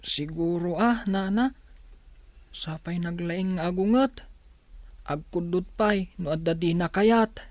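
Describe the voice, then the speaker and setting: A timid older brother played by a woman in an Ilocano radio drama. The boy's voice is somewhat low and recorded dry, meek and protective, with an Ilocano accent. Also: takes it slowly, long pauses, darkish tone, dry recording. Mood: hesitant.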